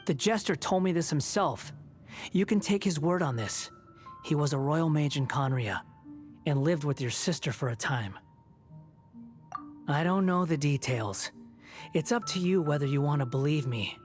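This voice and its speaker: A man's voice: a little high, animated, normal speed, long pauses, faint music behind, natural voice. An actor playing a proud, bitter young male wanderer in a video game, with a dry, aloof, cutting manner. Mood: guarded, serious. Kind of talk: video game character acting.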